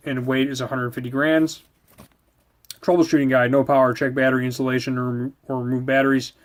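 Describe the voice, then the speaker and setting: A man with a mid-pitched voice reads instructions aloud as a product reviewer. His delivery is halting, with repeated words and small stumbles. He slips between recited manual text and casual, offhand commentary.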